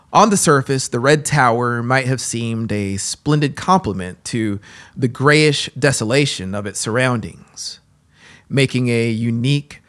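A man in his thirties narrating a horror story in a deliberate, formal, intense, dramatic way. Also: medium pitch, fairly bright tone. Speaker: audiobook narrator. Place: small brick-walled room studio. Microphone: close boom-mounted broadcast microphone.

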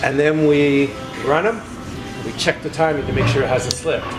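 Older guy explaining the shop floor step by step, kinda high voice, music going loud behind. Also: normal speed. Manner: matter-of-fact, explains step by step. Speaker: shop manager explaining work. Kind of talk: explanatory tour guide talk. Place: indoor workshop and warehouse.

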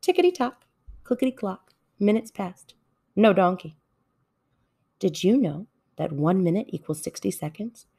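A woman reading a children's story aloud in an expressive narrating style. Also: medium pitch, a little slow, creaky, pauses often, slightly rough. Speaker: storybook reader.

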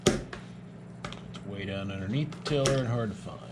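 A middle-aged man casually narrating boat maintenance in his garage and woodshop, relaxed and thinking aloud with a few pauses, picked up by a camera mic a little way off. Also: fairly low pitch, heavy background noise. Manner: relaxed, explains as he works, some hesitations. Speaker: boat builder and vlogger.

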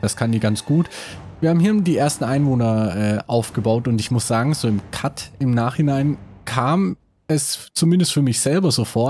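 Man, has a cold, mellow tone, hesitant let's play chatter. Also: medium pitch, breathy edge. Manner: thinking aloud, many hesitations. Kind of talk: casual gameplay commentary.

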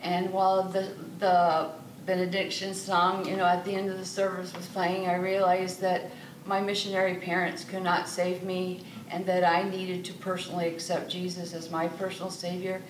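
Older woman raised in Brazil, low-ish voice, earnest, echoey far-off room sound. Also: monotone, normal speed. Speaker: missionary guest. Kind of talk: casual interview testimony. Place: church lounge with sofas, indoors. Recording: roomy echo, distant mic, faint hiss.

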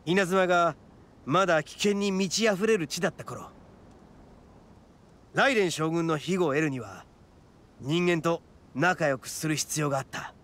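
A man playing a brash, big-hearted young oni gang boss in a Japanese anime game, with rough-edged, blunt street-tough slang. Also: fairly high pitch, a little slow, pauses often, a bit bright, slight background noise. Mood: solemn.

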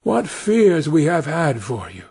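A man's voice: fairly high pitch, big pitch swings, slow, creaky, a little mellow, slightly rough, heavily acted. A man doing an earnest, loving woodman father. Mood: heartfelt.

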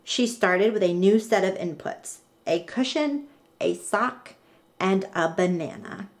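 A woman reading a children's picture book aloud in an expressive, deliberate way with dramatic pauses. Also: mid-range voice. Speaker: storybook reader.

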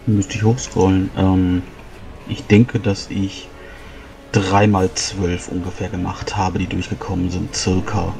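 A man's voice: low voice, flat delivery, unhurried, vocal fry, mellow. A man chatting casually as a player in a tabletop role-playing game. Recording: distant mic, music behind the voice.

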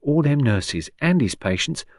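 A man's voice: a little high, expressive, a little slow, dry recording, clean studio sound, voice pushed up. A man voicing a shrewd, blunt old working-class woman patient in an audiobook, chatty and colloquial with folksy endearments. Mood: fond, admiring. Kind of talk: audiobook character dialogue.

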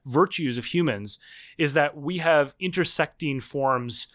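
A man in his thirties, a guest author explaining ideas in a podcast interview, animated and full of quick restarts. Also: a little high.

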